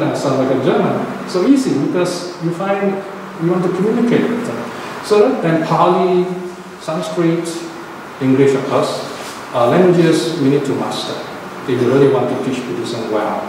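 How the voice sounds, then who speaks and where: A man's voice: on the high side, moderate pace. Older man giving a dharma talk, echoey hall. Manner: conversational, checks in with okay and right. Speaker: Buddhist teacher. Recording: echoey hall, distant mic, noisy.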